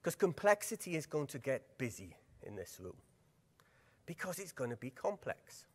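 A middle-aged man with a Welsh accent running a workshop at a conference, lively and engaging, putting questions to the audience. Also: medium pitch, normal speed. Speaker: workshop presenter. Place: large conference hall. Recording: long hall reverb.

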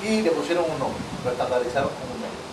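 A man's voice: mid-range voice, lively intonation, fast talker. A man in his thirties with a Cuban accent, chatting in an interview on an outdoor patio, repeating and restarting his phrases emphatically. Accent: Cuban Spanish. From Cuba. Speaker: pigeon breeder guest. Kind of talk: casual interview. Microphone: far camera. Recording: echoey, distant camera audio, noisy.

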